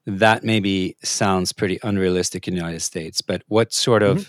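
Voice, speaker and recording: A man from Norway with a fairly low voice, interviewing a guest. He asks long, thoughtful questions, hesitating and pausing as he works them out. The recording is close and dry. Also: fairly even intonation, dry recording.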